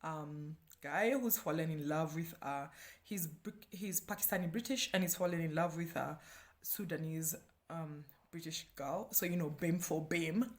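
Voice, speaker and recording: Female book vlogger, Kenyan accent, Kikuyu first language, slightly low voice, chatty and self-deprecating. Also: normal speed. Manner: chatty, slangy, self-deprecating, breaking often into laughter. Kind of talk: casual chat book review.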